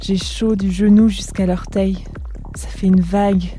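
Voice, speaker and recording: A woman's voice: mid-range voice, level tone, unhurried, dark tone, soft background music, lightly acted. A woman acting an entranced, sensual being who communes with the earth, in a poetic French audio drama.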